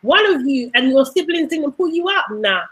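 A woman's voice: high-pitched, animated, normal speed, a bit bright. A woman in a casual group chat, speaking emphatically and repeating her points.

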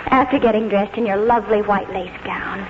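A woman's voice: mid-range voice, a little fast, natural voice. An actress playing an affectionate, fussing young woman in 1940s radio drama style. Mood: affectionate, brisk. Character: bright, affectionate young grown woman, fussing over aunt. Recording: muffled, very noisy old radio broadcast.

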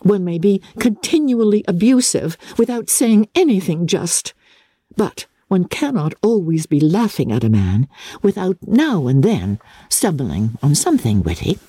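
A woman reader plays a spirited young English gentlewoman from a classic novel. She is witty and candid, with a wry, teasing delivery, very varied pitch and a mellow, slightly husky tone. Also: very animated, a little slow, no room sound, heavily acted. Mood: playful irony. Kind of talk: dramatic classic novel reading.

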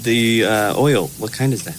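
A man's voice: lowish voice, normal speed. A man talking casually at home in short questions. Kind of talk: casual household talk. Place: home kitchen. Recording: kitchen field recording, frying noise.

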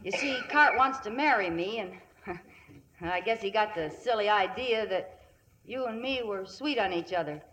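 An actress playing a plain-spoken young ranch daughter, in 1950s radio comedy style. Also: female voice, medium pitch, expressive, normal speed, fairly bright tone, close to an everyday voice, rough recording. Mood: teasing, amused. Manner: folksy, drawling, teasing.

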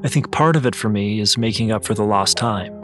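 A man's voice: on the low side, fairly quick. A man reading a scripted first-person personal essay as narrator. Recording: music behind the voice. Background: music.

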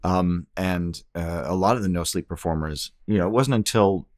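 A middle-aged man with a very low voice, talking casually as an actor being interviewed. He has a joking, self-deprecating way about him, and the sound is close and dry. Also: normal speed, a little mellow, no room sound. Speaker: guest, actor and audiobook narrator.

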